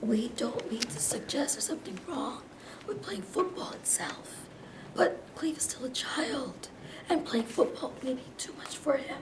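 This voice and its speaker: A woman's voice: sits high, expressive, unhurried, in a whisper. Distant, echoey worried mother played by a woman. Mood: concerned. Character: worried, protective mother of a teenage athlete. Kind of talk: staged play reading. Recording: echoey room, distant mic, noisy background.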